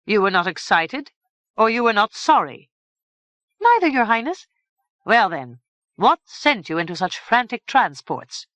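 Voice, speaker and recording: A woman doing a grave fairy king, measured and formal. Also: medium pitch, very animated, a bit bright, no echo, voice pushed up. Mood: stern. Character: stately old fairy king, grave and sententious.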